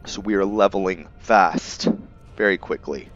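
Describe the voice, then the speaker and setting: A man giving casual gameplay commentary on his roleplay playthrough, musing aloud in a halting way. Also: deep voice, fairly bright tone, faint music behind. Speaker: gamer and streamer narrating his roleplay playthrough. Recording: muffled audio with faint background noise.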